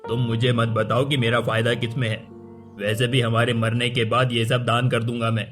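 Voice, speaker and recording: Man playing a commanding, even-toned king. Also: medium pitch, moderate pace, close to an everyday voice. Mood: firm. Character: principled, generous king, male, firm and authoritative. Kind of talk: Hindi cartoon story acting. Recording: music under the voice.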